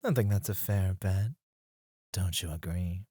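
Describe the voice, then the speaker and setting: A man playing a suave, flirtatious club escort in an intimate ASMR roleplay, teasing and breathy, close to the mic. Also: deep voice, warm tone, dry recording. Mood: playful, coaxing. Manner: slow, teasing, seductive, often dropping to a breathy murmur. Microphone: close studio microphone, dry.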